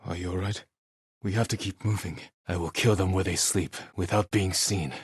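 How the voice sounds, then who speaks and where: A man playing a stoic, honorable samurai warrior in a video game, husky and measured, with terse, solemn delivery. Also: sits low, steady tone, dry recording, clean studio sound. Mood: cold, determined. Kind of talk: video game dramatic voice acting.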